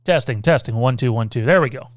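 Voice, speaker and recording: A man hosting a casual livestream Q&A, rambling loosely, reading out viewer comments and filling pauses with lots of "uh" and "um.". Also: medium pitch, dry recording, studio quality. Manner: rambling, reads out viewer comments, many filler sounds. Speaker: podcast host.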